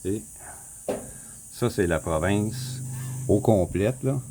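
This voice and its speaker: A man with a Québécois accent, casually walking viewers through a map on his screen, rambling, repeating himself and clearing his throat often. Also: lowish voice, expressive, slow, background noise. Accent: Québécois French. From Quebec. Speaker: vlogger. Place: home in the Philippines.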